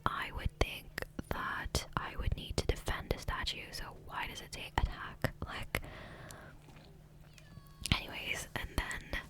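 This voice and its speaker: Woman, breathy whisper, unhurried pace, close-miked ASMR, hesitant rambling gameplay chat. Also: rough recording. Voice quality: soft, breathy unvoiced whisper. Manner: rambling, hesitant, often trailing off. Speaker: ASMR gaming creator. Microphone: close USB condenser microphone.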